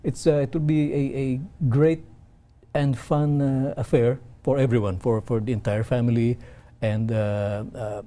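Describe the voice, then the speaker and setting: A man from the Philippines, a guest on an interview show, explaining in halting talk with many restarts. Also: medium pitch, level tone, dark tone. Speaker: event representative guest.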